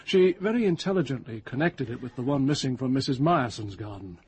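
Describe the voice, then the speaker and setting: An actor with a British accent playing a shrewd police inspector, formal, measured and politely probing, in a radio drama. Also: male voice, medium pitch, expressive, a little mellow, breathy edge. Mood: frank, measured.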